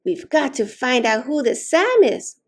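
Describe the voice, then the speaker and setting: A woman voicing a kindly, enthusiastic head librarian in a storybook, polite, encouraging and deliberate. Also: sits high, very animated, exaggerated voice. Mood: curious, determined. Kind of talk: storybook read-aloud.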